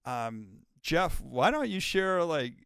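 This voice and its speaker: A man's voice: fairly high pitch, very animated, takes it slowly, creaky, fairly bright tone, clean tone. Chatty middle-aged male host. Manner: chatty, enthusiastic, rambling with restarts. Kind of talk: casual interview hosting.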